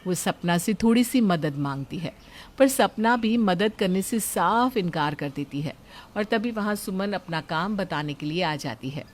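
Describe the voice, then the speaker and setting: A woman's voice: on the low side, expressive, a little slow. A woman reading scripted story narration as a storyteller.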